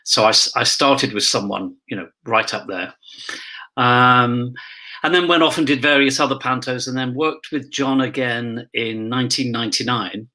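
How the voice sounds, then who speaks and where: A man's voice: medium pitch, fairly even intonation, normal speed, sharp tone. A man in his sixties chatting as an interview guest, telling career anecdotes in a theatrical, drily jokey way. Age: 60s. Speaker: actor and pantomime dame, interview guest. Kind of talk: casual anecdotal chat.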